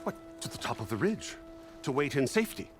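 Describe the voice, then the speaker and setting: An actor playing a pompous, fussy scholar-priest in a video game, declaiming in formal, archaic phrases. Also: male voice, medium pitch, sing-song, fairly quick, over loud music, close to an everyday voice. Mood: indignant. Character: pompous male scholar-priest, scripture-bound, fussy and cowardly. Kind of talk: video game cutscene acting.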